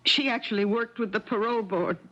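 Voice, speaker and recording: A woman's voice: a little high, brisk, a bit bright. An actress playing a distraught middle-aged mother with a fretful, urgent manner in a 1970s radio drama. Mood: earnest. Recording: dull lo-fi 1970s radio recording.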